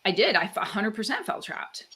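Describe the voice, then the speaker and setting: A woman's voice: medium pitch, big pitch swings, a little fast, bright, forceful tone. A woman from Canada, a guest author, talking reflectively in a casual interview, with lots of "like" and "um" fillers. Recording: faint background noise.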